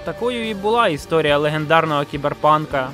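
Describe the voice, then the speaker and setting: Man with a fairly high voice, reflective slangy video essay narration, music in the background. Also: lively intonation, quick pace, fairly bright tone. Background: music behind the voice.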